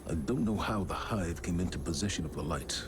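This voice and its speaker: Man playing a low-voiced, grave military commander. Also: natural voice. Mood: grave, resolute. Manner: measured, formal, authoritative. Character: grave, composed male military commander, diplomatic yet resolute. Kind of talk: video game cutscene acting.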